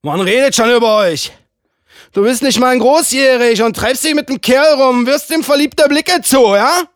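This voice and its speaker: A man voicing a jealous young brute who sneers and shouts with rough, colloquial speech. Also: lowish voice, big pitch swings, unhurried, no echo, clean studio sound, exaggerated voice. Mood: jeering.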